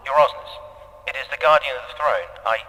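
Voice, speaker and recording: An actor playing a nervous, deferential palace guardian in a fantasy audio drama, hesitant and obsequious. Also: male voice, medium pitch, fast, pushed and bright, big-room sound, natural voice, low-quality recording. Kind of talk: full-cast fantasy audio drama acting.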